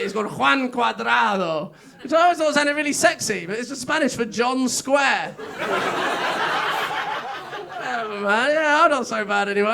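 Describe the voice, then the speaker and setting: Young English male stand-up, high, bright and a bit gravelly, chatty and self-mocking. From England. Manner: chatty, self-mocking, with frequent filler words. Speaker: stand-up comedian. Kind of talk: stand-up comedy set. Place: comedy club stage.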